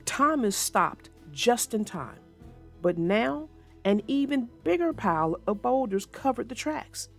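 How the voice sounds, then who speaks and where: Casting: expressive woman for children's read-aloud narration, lively and high-pitched. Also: measured pace, faint music behind. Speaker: storybook narrator.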